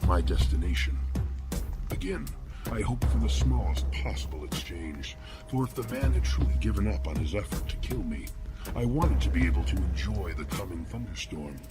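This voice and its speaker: An actor playing a cold, menacing killer who narrates his own horror tale in formal, ornate, measured language. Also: deep voice, animated, over loud music. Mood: wry disdain. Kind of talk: scripted first-person horror narration.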